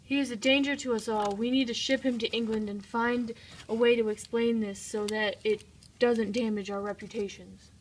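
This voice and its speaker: Scheming, guilty king for a puppet play, female performer, fairly high voice, slightly noisy recording. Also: fairly even intonation, moderate pace, natural voice. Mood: urgent. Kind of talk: amateur puppet-show dramatic reading.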